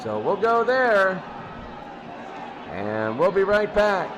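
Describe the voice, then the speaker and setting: High-pitched man, slow clipped sports commentary, noisy. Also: very animated. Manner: clipped fragments with pauses. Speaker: sports studio host. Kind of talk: off-the-cuff college football score commentary. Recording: clearly noisy background.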